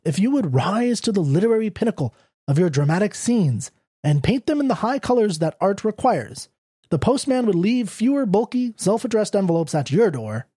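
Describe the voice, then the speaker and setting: A reader voicing a tactful middle-aged male magazine editor who critiques a writer in a measured, diplomatic way. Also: mid-range voice, sing-song, slightly rough, no echo, clean studio sound, pitched above the performer's own voice. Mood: gently critical. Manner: measured, diplomatic, softly lecturing. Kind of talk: audiobook character reading.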